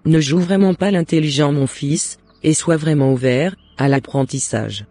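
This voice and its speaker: Man playing a devout mother, mellow and earnest. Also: fairly high pitch, normal speed, no echo. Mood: earnest, encouraging. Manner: earnest, encouraging, formal exhortation. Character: devout, loving mother advising her son. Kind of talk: scripted cartoon dialogue.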